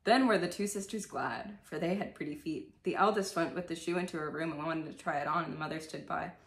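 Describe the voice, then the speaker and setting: Young female storyteller, lowish voice, theatrical reading with irreverent casual asides, roomy bedroom sound. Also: a little fast, vocal fry. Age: young adult. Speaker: storyteller and video host. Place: indoors, small bedroom. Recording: echoey room.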